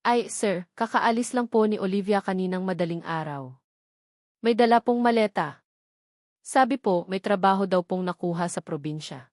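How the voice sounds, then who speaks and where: A woman's voice: mid-range voice, darkish tone, no echo, studio quality, close to an everyday voice. Woman voicing a polite older landlady. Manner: polite, respectful, hurried telling.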